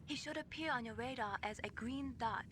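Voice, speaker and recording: A woman's voice: brisk, lightly acted. An actress playing an earnest, helpful young female technician in a video game, explaining things precisely. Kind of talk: scripted video game voice acting. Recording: clearly noisy game audio with low rumble.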